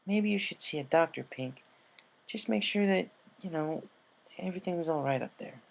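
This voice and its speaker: A woman voicing a young female cartoon pony, a loyal friend full of guilt, speaking in a halting, emotional, pleading way. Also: on the low side, lively intonation, vocal fry, voice pushed up. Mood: concerned, gentle.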